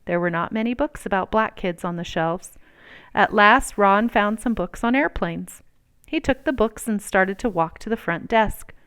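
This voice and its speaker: A woman reading a children's storybook aloud in an expressive, deliberate storytelling manner. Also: medium pitch, smooth. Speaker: storybook reader.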